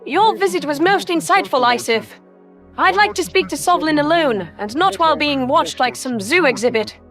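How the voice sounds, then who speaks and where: A woman playing an ancient, principled alien predator soldier who speaks in a smooth, formal, reproachful way in an audio story. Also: high voice, lively intonation, normal speed, fairly bright tone. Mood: curt. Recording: music under the voice. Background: music.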